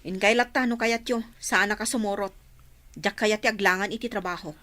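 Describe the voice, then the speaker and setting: A woman's voice: mid-range voice, fairly even intonation, a little fast, a bit bright, lightly acted. A woman playing a stubborn, resentful working wife in a radio drama, curt and dismissive, given to exclamations. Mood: irritated, dismissive. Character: resentful, stubborn working wife bitter toward her father.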